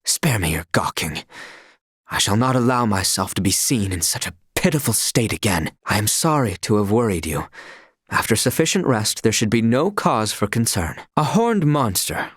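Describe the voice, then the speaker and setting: An actor voicing an earnest, stern young knight in a video game, with formal, archaic and stiffly proper diction. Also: male voice, on the low side, no echo, clean studio sound. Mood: terse, stern, then measured.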